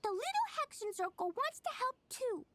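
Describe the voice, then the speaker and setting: A woman voicing a bouncy little girl who loves explosions in a game, talking in an eager, lively way over background music. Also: extremely high pitch, normal speed, noisy background. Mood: cheerful. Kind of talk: game voice acting.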